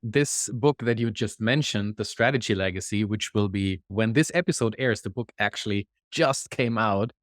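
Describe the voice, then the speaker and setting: A man with a German accent, a podcast guest, explaining his work in a thoughtful, measured way. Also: on the low side, dry recording, studio quality. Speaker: guest, executive consultant. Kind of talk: conversational interview.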